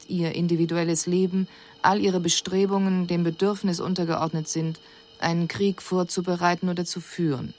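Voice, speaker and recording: An actress playing an alien observer who explains things in a measured, formal, didactic report for a radio drama. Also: female voice, fairly low pitch, a little mellow, a little hiss or hum, close to an everyday voice. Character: female alien observer coolly dissecting a self-destructive species.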